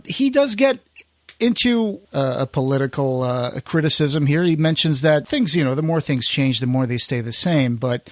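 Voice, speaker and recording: A man hosting a podcast in casual conversation, feeling his way haltingly through his sentences. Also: on the high side, lively intonation, dry recording. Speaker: podcast host.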